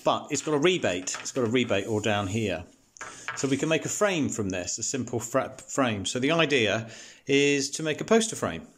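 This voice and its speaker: A man's voice: mid-range voice, expressive, moderate pace, a bit bright, husky. A man casually explaining a home renovation job step by step, with frequent fillers. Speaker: DIY renovation vlogger. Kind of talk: casual how-to narration. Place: house under renovation.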